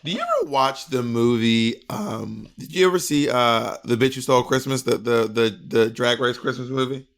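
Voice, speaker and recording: A man's voice: medium pitch, sing-song, moderate pace, vocal fry, hardly any pauses, fairly bright tone. A man in his thirties with an American accent, co-hosting a casual chat and telling stories in an emphatic, sweary way. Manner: emphatic, profane. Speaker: podcast co-host. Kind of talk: casual storytelling and review chat. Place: indoor room at home.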